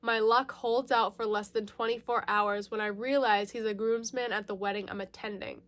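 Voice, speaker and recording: A woman's voice: a little high, steady tone, moderate pace, fairly bright tone. A woman chatting casually as a book vlogger, giggly and self-correcting, slightly nasal from a lingering mild cold. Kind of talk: casual chatty book haul, reading blurbs aloud.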